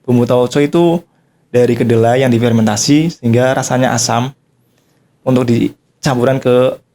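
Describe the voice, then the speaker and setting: A man's voice: medium pitch, normal speed, a little hiss or hum. Polite young man. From Tegal, Central Java. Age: young adult. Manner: polite, steady, agreeable answers. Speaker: cadet guest. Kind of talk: casual podcast interview.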